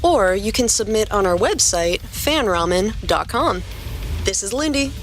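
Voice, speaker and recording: A woman's voice: medium pitch, lively intonation, unhurried, soft background music. A woman reading scripted story narration as a podcast narrator and host.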